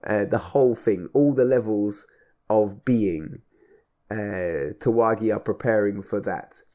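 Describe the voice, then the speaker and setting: A man with a fairly low voice talks as a radio host in a reflective conversation. He is measured and a little halting, often repeating and building on his phrases. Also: mellow. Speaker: radio show host and event organiser.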